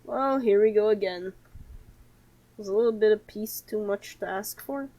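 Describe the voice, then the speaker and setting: A woman game streamer giving playthrough commentary and reading game text aloud, with expressive, sarcastic reactions. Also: medium pitch, moderate pace, no room sound.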